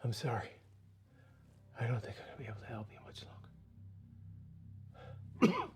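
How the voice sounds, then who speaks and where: Desperate, volatile dying uncle, expressive pitch. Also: male voice, moderate pace, slight background noise. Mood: weak, resigned. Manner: agitated, pleading, stammering, swearing. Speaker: actor in interactive mystery film. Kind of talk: naturalistic film drama acting.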